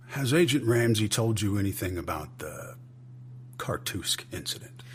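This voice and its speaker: A man's voice: lowish voice, expressive, takes it slowly, a little hiss or hum. An actor playing a weary, skeptical male intelligence chief in an audio drama, clipped and sardonic with heavy sighs. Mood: skeptical, curt. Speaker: senior agency official.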